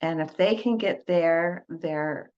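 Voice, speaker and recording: An older woman from Detroit speaks slowly and gently as an interview guest, often pausing on fillers and restarting her sentences. Her voice sits somewhat low, and the recording has a dull, lo-fi sound. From Detroit, United States. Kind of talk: slow, halting conversational interview. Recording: dull lo-fi audio.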